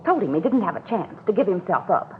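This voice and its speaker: Actress playing nosy neighbour woman, insistent, rambling, lively swooping pitch, 1940s radio acting, thin noisy old recording. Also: fast talker, phone-quality sound, lightly acted. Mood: insistent, confiding. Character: chatty, insistent, well-meaning meddling female neighbour.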